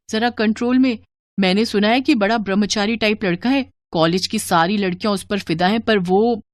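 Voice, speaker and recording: A woman playing an expressive young college girl in dramatic story dialogue. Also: medium pitch, no echo, clean studio sound, natural voice. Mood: playful, teasing. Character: young college girl, lovestruck, teasing, later frightened and pleading. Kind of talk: animated Hindi story dialogue.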